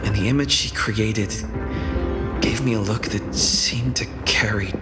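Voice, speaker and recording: An actor playing an earnest, thoughtful young man leading others in a video game, solemn and deliberate. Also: fairly low pitch, over loud music, close to an everyday voice. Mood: pensive.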